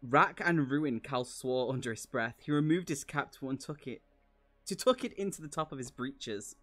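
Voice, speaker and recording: A man's voice: medium pitch, moderate pace, a bit bright, faint music behind. A British man reading a novel aloud on a stream, playfully stumbling over words and breaking off into chatty asides.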